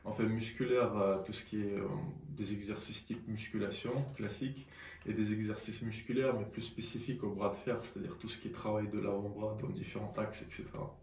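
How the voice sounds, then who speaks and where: A male athlete explains his answers in a radio interview, doubling back on words. His voice is on the low side, recorded in a roomy space with slight background noise. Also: level tone, brisk, a little mellow. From born in Siberia, moved to France aged 10. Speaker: arm-wrestling athlete, radio guest. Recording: roomy sound with faint noise.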